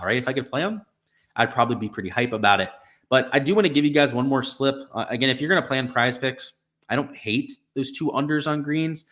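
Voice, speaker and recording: A young man with a slightly raspy voice from being sick, casually talking through betting picks on a live stream, thinking aloud. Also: medium pitch, fairly bright tone. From Florida. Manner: casual, thinking aloud with fillers like "right" and "you know". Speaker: sports betting stream host.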